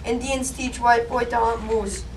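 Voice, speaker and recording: A woman's voice: on the high side, little pitch movement, unhurried, recorded from a distance, slight background noise, natural voice, poor audio. A woman doing a firm old native grandfather. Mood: insistent. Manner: clipped broken English.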